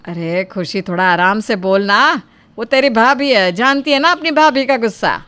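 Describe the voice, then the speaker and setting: A woman's voice: on the high side, expressive, moderate pace, noisy background, natural voice. A woman voicing an anxious older mother-in-law in a cartoon moral story, pleading and placating with mild reproach.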